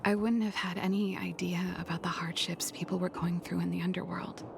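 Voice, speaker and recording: A woman voicing a guarded young woman in a game who admits she runs from her problems, with a low, breathy delivery. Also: steady tone, fairly quick, background noise. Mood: reflective. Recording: game audio with music behind.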